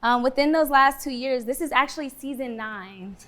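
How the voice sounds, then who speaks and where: A woman's voice: sits high, normal speed, very noisy. A woman from Dallas who leads a dance team, giving upbeat, slangy answers in an interview. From Dallas, Texas.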